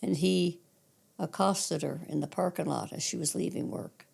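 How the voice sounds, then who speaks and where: A hoarse older woman from Cape Breton, her raspy voice full of gravel and sitting a touch low. She recalls names and details from decades back in a steady, matter-of-fact way, like a retired dispatcher sharing stories with family. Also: normal speed. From Cape Breton Island, Canada. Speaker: guest, retired 911 dispatcher. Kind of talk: casual storytelling interview.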